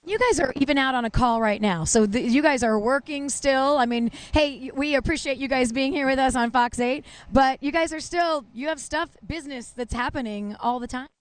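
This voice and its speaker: Middle aged lady tv reporter, high voice, gushing and chatty, live on location. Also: a little fast, few pauses, dry recording. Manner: gushing, effusive. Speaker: TV field reporter. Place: outdoors at a fire station. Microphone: handheld reporter microphone.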